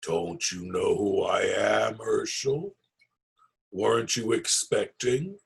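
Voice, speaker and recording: A man voicing a terrifying goblin king for a storybook, speaking in slow, drawn-out, ominous phrases. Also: lowish voice, creaky, husky, voice pushed down, exaggerated voice. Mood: sinister. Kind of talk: storybook read-aloud villain.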